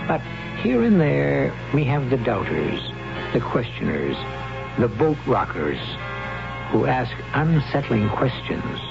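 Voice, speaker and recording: A male radio drama host reads measured, musing narration in a gravelly voice that rises and falls widely. The old broadcast sounds dull and lo-fi, with music playing clearly behind him. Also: mid-range voice, huge pitch swings. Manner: measured, ruminating commentary.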